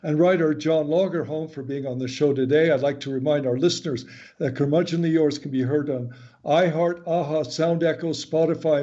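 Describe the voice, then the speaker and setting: A man's voice: a little high, mellow. A man hosting a radio interview show in a conversational style. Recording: dull lo-fi audio.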